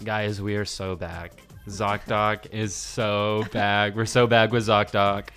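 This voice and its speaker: Low-voiced casual young-sounding man. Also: level tone, takes it slowly, a bit bright, clear, resonant tone, soft background music. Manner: informal, slangy, conversational. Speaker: podcast co-host. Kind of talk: casual chat and ad reads.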